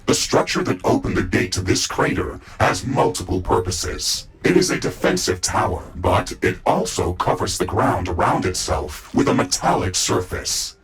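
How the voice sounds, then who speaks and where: A man voicing a calm, loyal artificial intelligence in a video game, with measured, formal, analytical speech. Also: deep voice, exaggerated voice, poor audio. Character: calm, loyal male AI of a battle mecha suit. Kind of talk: scripted video game dialogue.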